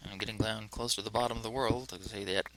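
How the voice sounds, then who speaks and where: A man casually talking to himself as he plays a game, rambling in a relaxed way. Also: fairly low pitch, quick pace, background noise. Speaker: let's play host. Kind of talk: casual gameplay commentary.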